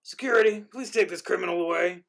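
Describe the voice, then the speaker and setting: Man playing an imperious, gravelly military commander. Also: high voice, fast, a bit bright, full character voice. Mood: stern, commanding. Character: imperious male military commander, cold and dismissive. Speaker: amateur actor. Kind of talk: dramatic play reading.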